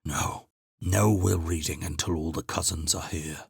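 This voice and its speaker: A man voicing an ancient, frail old male patriarch who croaks slowly and stubbornly. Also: deep voice, steady tone, dry recording, clean studio sound, voice pushed down, exaggerated voice. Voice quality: croaking, slow and feeble.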